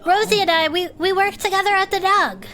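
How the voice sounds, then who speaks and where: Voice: very high-pitched, fairly quick, pushed and bright, soft background music. An actor playing an earnest, loyal, easily indignant investigator sidekick in an audio drama, speaking emphatically. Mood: indignant, worried.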